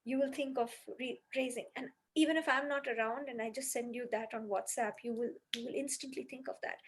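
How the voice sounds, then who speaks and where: Breathy woman explaining her method. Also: a little high. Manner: earnest, explains step by step, many "you know"s. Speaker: music healing practitioner. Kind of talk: explanatory podcast talk.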